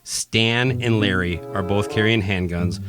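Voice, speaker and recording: A man's voice: lowish voice, measured pace, loud music behind the voice. A man reading scripted narration for a radio play. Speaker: narrator, podcast host.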